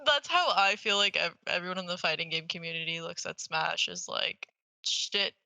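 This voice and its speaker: A woman's voice: lowish voice, moderate pace, creaky, sharp tone, slight background noise, poor audio. A young adult woman co-hosting a podcast, chatting informally and enthusiastically about games, with casual swearing. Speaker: podcast co-host. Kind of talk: casual gaming chat.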